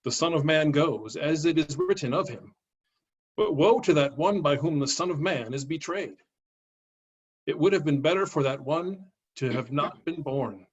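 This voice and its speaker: A man's voice: on the high side, normal speed, slightly rough. A man, a pastor, giving a dramatic scripture reading over a video call. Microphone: video call microphone. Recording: dull lo-fi video call audio.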